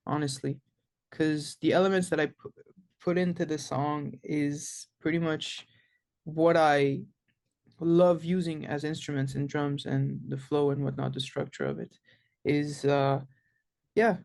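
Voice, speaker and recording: Young male musician guest from Canada, slightly high voice with gravel, halting and searching for words. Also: expressive, darkish tone. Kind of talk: casual interview chat.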